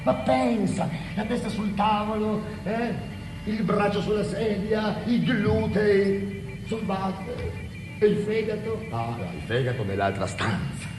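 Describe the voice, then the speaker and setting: Grotesque, taunting male guardian, slow and high, theatrical monologue in echoing hall. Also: lively intonation, music in the background. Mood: mocking, grotesque. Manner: taunting, dramatic pauses. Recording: echoey hall with long reverb.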